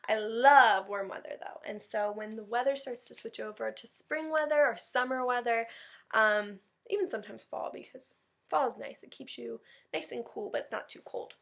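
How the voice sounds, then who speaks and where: A woman's voice: on the high side, normal speed, creaky, a bit bright. A woman teacher reading a story aloud to a class, adding casual asides and simple explanations for children.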